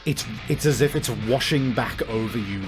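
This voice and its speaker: A man's voice: medium pitch, moderate pace. Man narrating a tabletop game over music. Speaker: game master. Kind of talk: dramatic tabletop game narration and rules talk.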